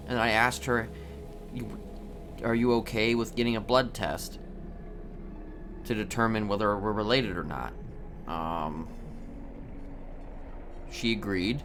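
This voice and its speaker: A man playing a brooding prisoner in a roleplay game, confiding in a halting, deliberate way and repeating words as he goes. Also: lowish voice, a little hiss or hum. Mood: reflective. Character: brooding, confiding male prisoner seeking to reform. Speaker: streamer role-playing a character. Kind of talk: improvised in-character storytelling in a crime roleplay game. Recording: loud music behind.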